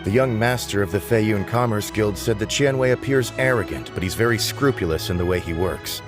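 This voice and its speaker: A man's voice: on the low side, a little fast, music in the background, lightly acted. Man voicing a cocky young informant. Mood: reporting. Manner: cocky and teasing. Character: smug, boastful young male informant. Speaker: game character.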